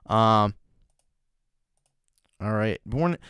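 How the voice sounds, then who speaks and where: Slow-talking man with a smooth voice. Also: on the low side, no room sound, clean studio sound. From Canada. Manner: relaxed, rambling with many fillers and restarts. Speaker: music reaction show host. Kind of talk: casual livestream commentary.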